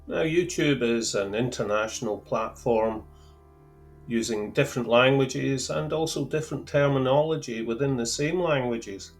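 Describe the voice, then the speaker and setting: A man with a Scottish accent explaining fuel terms to camera in a careful, deliberate way, with a lightly wry touch. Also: mid-range voice, faint music behind. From Scotland. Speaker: outdoor gear channel host. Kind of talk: measured explanatory talk to camera.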